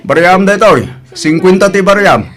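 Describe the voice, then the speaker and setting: A man with an Ilocano accent acting a good-natured, contented older husband and market vendor in an audio drama, with a husky, homely delivery. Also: sits high, animated, brisk, fairly bright tone, faint music behind. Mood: cheerful.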